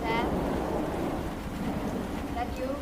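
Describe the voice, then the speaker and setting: An American actress playing an anxious, scheming femme fatale in 1940s radio noir, with a strained, insistent manner. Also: female voice, drawn-out words. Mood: frightened. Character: anxious, pleading femme fatale, scheming old flame of detective. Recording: very noisy old radio recording.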